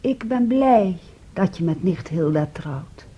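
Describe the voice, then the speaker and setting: A woman's voice: fairly low pitch, lively intonation, slow pace, slightly rough, dull audio, heavily acted. An actress playing a knowing, possessive and reproachful young woman, speaking in a pointed, intimate way in a Dutch radio play. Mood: quietly reproachful.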